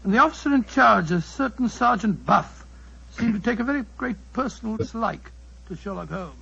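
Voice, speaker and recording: Loyal worried English gentleman, British accent, creaky, earnest, dull old radio recording. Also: high voice, expressive, moderate pace, heavily acted. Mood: storytelling. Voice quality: husky, creaky. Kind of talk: old-time radio drama acting.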